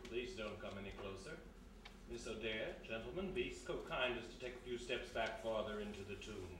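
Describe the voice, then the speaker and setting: A man playing a coldly polite older villain, a scheming gentleman archaeologist, in a live radio mystery. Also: on the low side, brisk, close to an everyday voice. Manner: formal, mock-courteous, menacing. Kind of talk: 1930s-style radio play acting. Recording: echoey, distant live stage, noisy.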